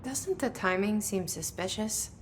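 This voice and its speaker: An actress playing a wary, reserved young game protagonist with a terse, understated, questioning manner. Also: female voice, mid-range voice, animated, a little slow, a little hiss or hum, lightly acted. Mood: polite, suspicious.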